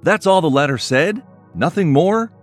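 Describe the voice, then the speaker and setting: A man playing a loyal, concerned best friend in a crime audio drama, with a questioning, animated way of talking. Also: fairly high pitch, moderate pace, soft background music, lightly acted. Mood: puzzled.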